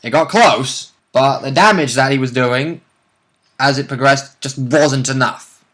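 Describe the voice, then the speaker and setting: A man's voice: mid-range voice, measured pace, fairly bright tone. A man chatting casually and bluntly as a player recapping a tabletop game.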